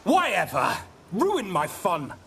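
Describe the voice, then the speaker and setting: A man's voice: high voice, huge pitch swings, slow pace, gravelly, bright, forceful tone, full character voice. An actor playing a flamboyant, thrill-seeking noble ghost who speaks theatrically and sulks when his fun is spoiled. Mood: petulant. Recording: game audio, distant, hissy.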